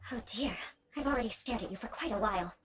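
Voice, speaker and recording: Haughty young noblewoman for a game, played by a woman: formal, imperious, ornate, highly animated delivery. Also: fast talker, a crowd in the background, natural voice. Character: haughty young noblewoman, prim, vengeful, awkwardly grandiose. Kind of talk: video game voice acting. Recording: game music behind the voice.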